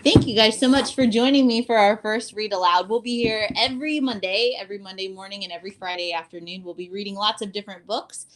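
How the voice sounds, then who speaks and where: A woman reading a storybook aloud to children as a teacher, with an expressive, deliberate storytelling delivery. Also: medium pitch, slight background noise.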